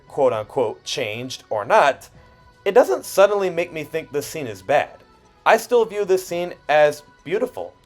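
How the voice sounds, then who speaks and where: A man narrating a personal video essay in an expressive way, with self-deprecating asides and dramatic pauses. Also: on the high side. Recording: music under the voice. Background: background music.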